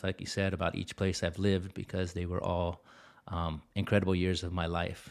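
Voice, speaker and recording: A man who grew up speaking Italian, a school dean of students talking as an interview guest in a calm, measured, reflective way. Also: sits low. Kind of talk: casual interview talk.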